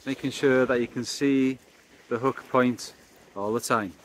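A man's voice: medium pitch, steady tone, a little slow, a little hiss or hum, low-quality recording. A middle-aged man chatting to the camera on a pebbly beach by the sea, explaining his fishing as he works. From Wales. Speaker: fishing vlogger. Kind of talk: casual chat to camera. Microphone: camera close up, held or on a stand.

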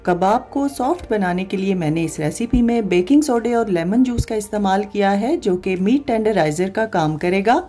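A woman hosting a cooking channel, calmly explaining each recipe step to viewers in a voice-over. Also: medium pitch, moderate pace, few pauses, husky, soft background music. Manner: calm step-by-step explaining, addresses viewers directly. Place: voice-over, not recorded in the pictured kitchen.

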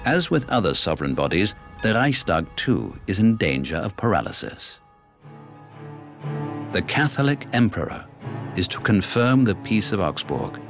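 Male narrator, low register, formal measured read for an educational documentary, music underneath. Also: sing-song, a bit bright. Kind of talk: scripted narration.

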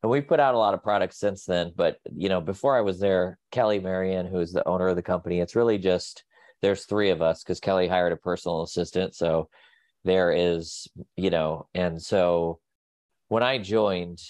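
Nasal, deep-voiced man with a cold. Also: moderate pace, a little mellow, dry recording. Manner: rambling, many fillers and restarts. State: sick with a cold. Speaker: guest, pet nutrition company staff. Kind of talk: casual video call interview. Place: home office. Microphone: video call.

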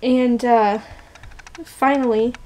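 A woman's voice: fairly high pitch, fairly even intonation, slow pace, warm tone. A young woman in her twenties, unwell and shaky, chatting casually in a personal vlog, rambling with many fillers and restarts, filmed close up on a camera in a small bedroom. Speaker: vlogger. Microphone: camera close up, built-in mic.

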